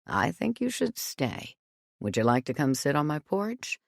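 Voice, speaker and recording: Woman voicing a gentle, kindly old man. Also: deep voice, very animated, measured pace, vocal fry, no echo, clean studio sound, full character voice. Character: gentle elderly man, kindly and patient neighbor.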